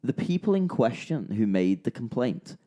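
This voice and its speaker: A man's voice: mid-range voice, big pitch swings, normal speed, mellow. A young man from Liverpool with a Scouse accent, co-hosting a podcast and telling a long rambling story. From Liverpool, England. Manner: rambling, restarts and repeats himself. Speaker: podcast co-host, musician. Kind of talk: casual storytelling chat.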